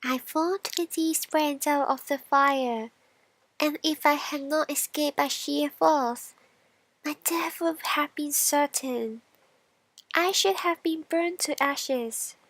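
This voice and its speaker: A woman's voice: high voice, slow pace, a bit bright, no room sound, pitched above the performer's own voice, exaggerated voice, rough recording. A woman voicing a brave, dramatic piece of hot coal in a storybook, speaking in a declamatory way. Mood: dramatic, grave.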